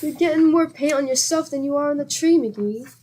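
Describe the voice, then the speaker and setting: Woman playing wry, high-voiced radio wife. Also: a little fast, mellow, slight background noise, close to an everyday voice. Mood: dryly teasing. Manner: wry, chiding. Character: patient, dryly teasing middle-aged wife. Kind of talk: old-time radio comedy acting.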